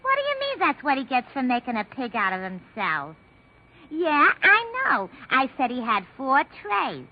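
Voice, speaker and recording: A woman's voice: high-pitched, huge pitch swings, moderate pace, full character voice. A woman playing a chatty, wisecracking switchboard operator in a 1950s radio comedy monologue, gossipy and slangy. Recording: dull 1950s radio recording.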